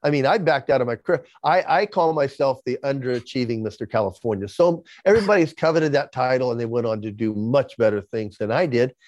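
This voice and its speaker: A man's voice: medium pitch, fairly quick, few pauses, darkish tone, dry recording, dull audio. Man in his sixties with a lisp. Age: 60s. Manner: repeats words when starting sentences. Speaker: podcast guest. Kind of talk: candid interview storytelling.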